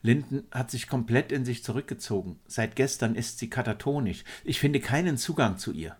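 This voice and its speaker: A man's voice: medium pitch, lively intonation, moderate pace, darkish tone, husky. A man voicing a worried, weary woman psychologist aboard a starship, earnestly explaining in a story. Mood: quiet, troubled. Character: worried female ship's psychologist, troubled and weary.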